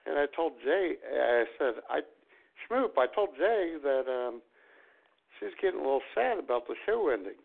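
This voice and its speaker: A man's voice: on the high side, big pitch swings, normal speed, vocal fry. A man co-hosting a radio talk show, joking and teasing his co-hosts. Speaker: co-host. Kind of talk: casual radio banter. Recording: phone-like lo-fi line.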